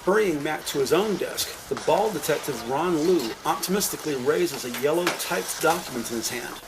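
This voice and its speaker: A man narrating a crime audio drama with scripted, descriptive storytelling in a deliberate, literary manner. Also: fairly high pitch, animated, noisy background.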